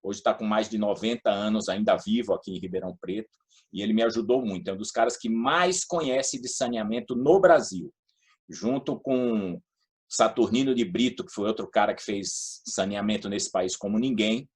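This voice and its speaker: A man's voice: fairly low pitch, normal speed, slightly rough. A middle-aged or older man with a Brazilian accent from São Paulo state, talking casually and anecdotally as an interview guest. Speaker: guest, former public housing and utilities official.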